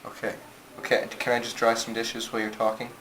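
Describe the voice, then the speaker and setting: A man's voice: medium pitch, monotone, quick pace, a bit bright. A man in casual comic banter as the sidekick listener, asking questions in a mild, hesitant way. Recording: noisy amateur home recording.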